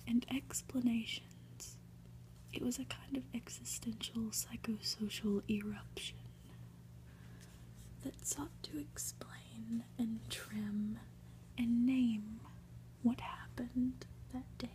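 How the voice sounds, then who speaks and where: A woman reading a story aloud for ASMR in a hushed, deliberate, breathy whisper. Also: a little high, steady tone, poor audio. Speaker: ASMR reader.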